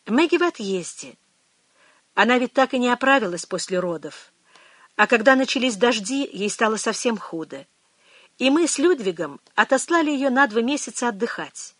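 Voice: medium pitch, fairly bright tone, no echo, pitched above the performer's own voice. A reader voicing a practical, caring woman in an audiobook, talking plainly and matter-of-factly.

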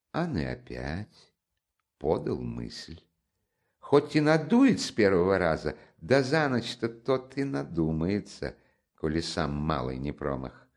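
A man's voice: low voice, exaggerated voice. A man voicing a fussy, sly middle-aged investigator who talks in a wheedling, fawning way. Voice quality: wheedling, fawning, with deferential "-s" endings. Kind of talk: audiobook character voice.

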